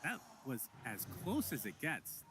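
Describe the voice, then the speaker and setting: Male esports caster, slightly high voice, fast excited play-by-play, game noise in the background. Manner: excited quick play-by-play, laughs often. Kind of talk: live esports commentary.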